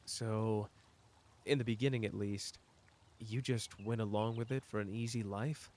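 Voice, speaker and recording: A man playing a powerful, cocky but wounded sorcerer in an intimate audio roleplay, talking casually and teasingly straight to the listener with pauses. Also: lowish voice, a little hiss or hum, lightly acted. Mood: wry, probing.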